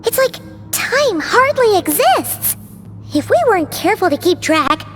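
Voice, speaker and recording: A woman voicing a small, childlike fairy companion in a video game, squeaky, cutesy, chirpy and food-loving. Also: a bit bright, heavily acted. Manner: refers to self in third person, cutesy. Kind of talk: cartoonish video game voice acting.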